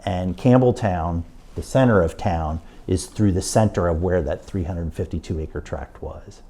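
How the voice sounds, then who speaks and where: A man serving as a local historian talks through the town's early records. His voice is very deep and husky, with a dark, mellow tone. He explains informally and sometimes restarts a phrase. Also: a little slow. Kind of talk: interview explanation.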